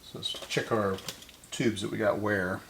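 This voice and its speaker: A man's voice: lowish voice, steady tone, unhurried, creaky, fairly bright tone, a little hiss or hum. A middle-aged man hosting a casual hands-on repair demonstration, talking to himself unscripted as he works. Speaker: host of a vintage electronics repair channel. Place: indoor electronics workbench.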